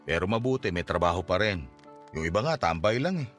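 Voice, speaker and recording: A man's voice: low voice, very animated, a little fast, a bit bright, faint music behind. An actor playing a cocky, swaggering middle-aged stepfather in a radio drama, boastful and argumentative. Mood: smug, easygoing. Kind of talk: Tagalog radio drama acting.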